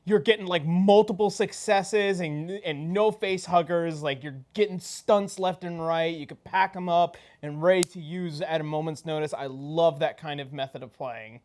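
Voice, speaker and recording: A woman with a somewhat low-pitched voice speaks in a casual, conversational style. She is a player talking over a tabletop game with the group. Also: moderate pace, few pauses, a little mellow. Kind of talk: casual post-game chat.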